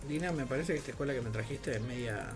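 A woman's voice: extremely low pitch, fast. A young adult woman with an Argentine Rioplatense accent, joking and teasing in casual chat as a gaming co-host. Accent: Argentine Rioplatense Spanish. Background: faint music.

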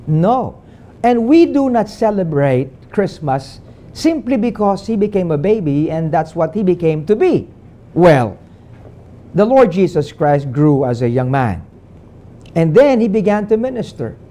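An older man from the Philippines with a Filipino accent, preaching on television and moving between English and Tagalog with a measured preaching cadence. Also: sits high, sing-song, warm tone. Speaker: pastor. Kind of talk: televised sermon. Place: small studio set indoors. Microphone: lapel.

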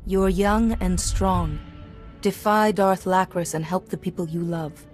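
An actress playing a calm, principled young Jedi in a video game, measured and earnest. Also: female voice, fairly low pitch, natural voice. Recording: music playing behind the voice.

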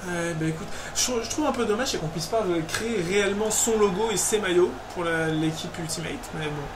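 A man casually chatting while playing a football video game, shouting excitedly at goals. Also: high-pitched, a little fast. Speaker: gamer co-host. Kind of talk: casual gaming commentary. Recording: roomy, far-off mic, game noise.

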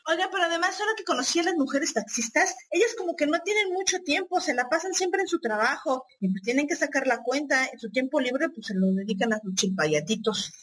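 A high, bright-voiced Mexican woman played by an actress in a radio soap. She is a bashful but feisty grassroots activist who speaks in a hesitant, homespun way. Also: animated, brisk. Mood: explaining. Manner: hesitant, folksy. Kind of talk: scripted radio drama acting.

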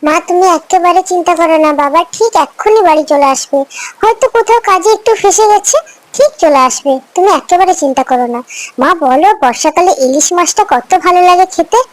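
A woman as high-pitched eager little girl. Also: animated, brisk, no echo. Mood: reassuring. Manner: childlike, chattering, coaxing. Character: eager, pleading, food-loving little village girl.

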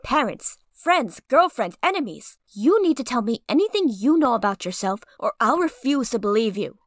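A woman voicing a stern, composed guild mistress creature in a comic dub, speaking formally and with measured authority. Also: on the high side, big pitch swings, no echo, clean studio sound. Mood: stern, suspicious. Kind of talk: fan comic dub voice acting.

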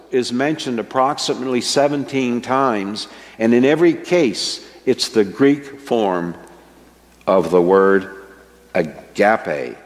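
An older man preaching a sermon from a church pulpit, slow and earnest, stressing his key words. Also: mid-range voice. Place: church sanctuary with a stage, indoors. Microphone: microphone at a wooden pulpit, fixed camera. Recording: long church reverb.

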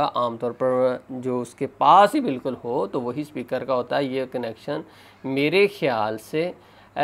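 A man gives a hands-on tutorial in a gravelly, creaky voice. His pitch sits in the middle range. He explains each step in order and often addresses the viewers as friends. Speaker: phone repair instructor.

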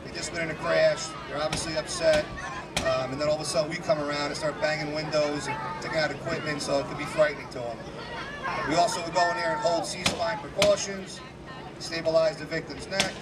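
A man, a fire captain giving a live demonstration talk with a strong, loud voice and many fillers. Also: a little high, steady tone, brisk, a loud crowd. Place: outdoor parking lot. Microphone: handheld microphone to a PA. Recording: distant PA voice, loud outdoor noise.

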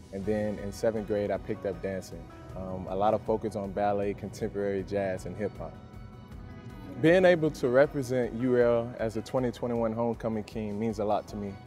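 A man's voice: lowish voice, dark tone. A young man from Louisiana, the homecoming king, speaking in a confident, upbeat way in an outdoor campus interview. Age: young adult.

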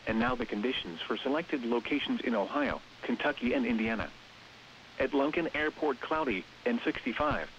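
A synthetic-sounding male announcer reads scripted weather bulletins and warnings in a flat, evenly phrased delivery. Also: medium pitch, moderate pace, gravelly. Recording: hissy, dull radio broadcast with noise. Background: radio hiss.

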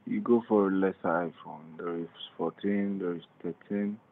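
A man's voice: deep voice, steady tone, normal speed, creaky, narrow, tinny audio. A man calling as a scammer, insistently pressing for phones and purchases. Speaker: scam caller.

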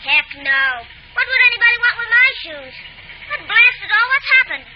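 A woman playing a tiny, excitable, grumpy male circus midget with a small piping voice in an old radio drama. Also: extremely high pitch, normal speed. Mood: agitated, grumpy. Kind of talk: 1940s radio acting. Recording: dull, noisy old radio broadcast.

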